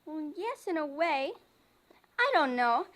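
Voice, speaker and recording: Very high-voiced, bossy little girl. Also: very animated, slow, noisy background, full character voice. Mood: earnest, secretive. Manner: loud, strong, insistent. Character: excited, bossy, definite little girl playing invasion. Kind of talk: old-time radio drama acting.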